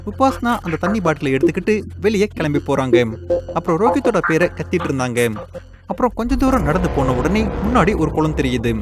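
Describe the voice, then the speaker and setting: Lively male cartoon narrator. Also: on the high side, a little fast. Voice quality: lively storytelling. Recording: narration over loud music.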